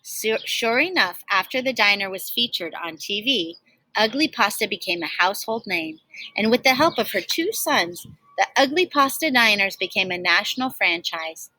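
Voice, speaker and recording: A woman's voice: medium pitch, animated, normal speed, pushed and bright, no echo, rough recording. A woman reading a children's storybook aloud expressively, like a teacher, with emphatic stresses and chatty asides.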